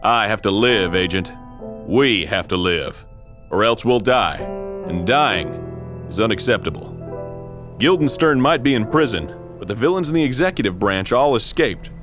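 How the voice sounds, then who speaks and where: An actor playing an earnest, pompous military commander in a silly spy video game, solemn and melodramatic. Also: male voice, on the low side, expressive, normal speed, music in the background, lightly acted. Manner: solemn, melodramatic mission-briefing delivery. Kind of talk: tongue-in-cheek spy game acting.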